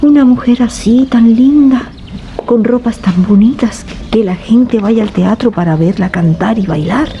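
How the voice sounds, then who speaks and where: A woman's voice: on the high side, unhurried, mellow, highs cut off, slight background noise. An actress playing a wistful young woman who confides in a friend, repeating her phrases, in a radio drama. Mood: wistful, wondering.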